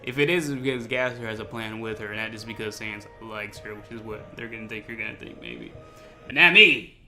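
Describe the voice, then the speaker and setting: A man giving casual, excitable reaction commentary in slangy talk, often repeating words for emphasis. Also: fairly low pitch, a little fast. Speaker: host of a reaction video. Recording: music behind the voice.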